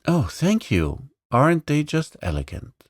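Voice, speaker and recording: A man's voice: fairly high pitch, wildly swooping pitch, a little slow, no room sound, studio quality, voice pushed up, exaggerated voice. A man reading aloud, voicing a meek, poor young boy who is lame and speaks in humble, plain slang. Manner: humble, plain-spoken, says "ain't"-style slang.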